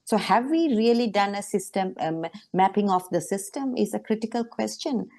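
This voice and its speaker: A woman's voice: mid-range voice, lively intonation, moderate pace, creaky, darkish tone. A woman from Sri Lanka speaking as a panel guest in an online discussion, earnest and emphatic, with frequent fillers.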